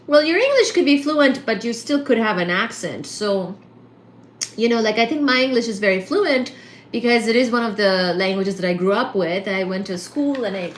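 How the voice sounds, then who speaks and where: A woman's voice: mid-range voice, a bit bright, reverberant, slight background noise. A woman from Kolkata with Bengali as her native language and an accent that mixes Indian and American, chatting casually with viewers on a livestream. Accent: mixed Indian and American. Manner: chatty, answers viewers, asides and fillers. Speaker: livestream host. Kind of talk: casual livestream chat reading comments.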